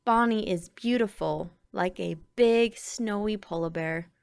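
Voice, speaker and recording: A woman reading a children's picture book aloud with expressive, deliberate phrasing. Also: medium pitch, vocal fry, no room sound. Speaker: storybook reader.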